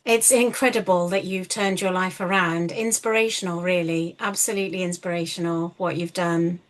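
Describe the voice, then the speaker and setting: A woman's voice: lowish voice, fairly even intonation, moderate pace. A woman hosting a podcast interview, affirming her guest and often ending points with tag questions. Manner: affirming, many fillers and restarts, frequent tag questions. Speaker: podcast host. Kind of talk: supportive interview chat.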